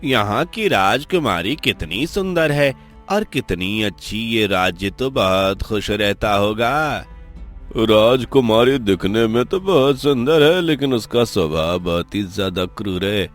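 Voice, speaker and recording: A man's voice: on the low side, animated, unhurried, faint music behind. A man voicing wary, curious villagers who gossip earnestly in a conversational cartoon story dialogue.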